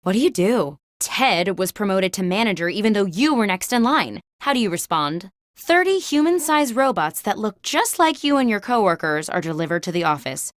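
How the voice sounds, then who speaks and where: A woman playing a chipper corporate office interviewer who reads scripted party game questions in a crisp, expressive way. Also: mid-range voice, normal speed, few pauses, no echo, studio quality, close to an everyday voice. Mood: upbeat, quizzical. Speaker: game host.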